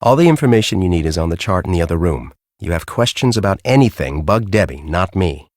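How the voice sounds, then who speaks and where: An actor playing a grumpy, bossy middle-aged storm-chasing professor in a video game, with an American accent and a brusque, sarcastic, exasperated manner. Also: male voice, low-pitched, expressive, fast, darkish tone, no echo, clean studio sound. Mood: bossy, curt. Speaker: video game character, research team leader.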